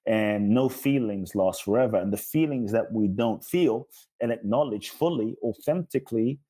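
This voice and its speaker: A man's voice: on the low side, normal speed, mellow, breathy edge. A man speaking as a guest spiritual teacher in an interview, earnestly explaining in emphatic, repeating lists. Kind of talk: earnest self-help teaching in conversation.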